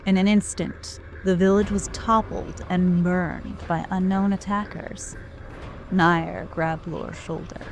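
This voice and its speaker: A woman narrating an audio drama in a slow, deliberate, grave way. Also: on the low side, flat delivery, soft background music. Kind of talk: scripted narration.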